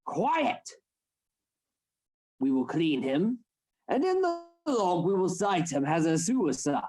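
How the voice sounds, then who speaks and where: A man's voice: high voice, very animated, takes it slowly, full character voice. A man acting in a radio play as a domineering, scheming Victorian anatomy assistant, with commanding, formal, theatrical speech. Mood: cold, controlling.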